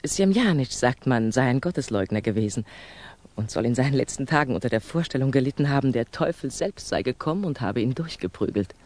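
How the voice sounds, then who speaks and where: An actress in a German radio drama playing a worldly, middle-aged Russian revolutionary woman, probing, composed and gently chiding. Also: a bass voice, animated, fairly quick. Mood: confiding.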